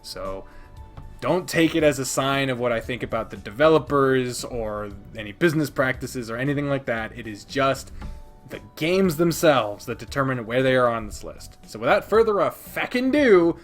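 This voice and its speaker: A man's voice: mid-range voice, big pitch swings, moderate pace, faint music behind. A man hosting a casual countdown video, talking informally and emphatically with plenty of swearing. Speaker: video host, visual novel reviewer. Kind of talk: casual scripted top-ten countdown commentary.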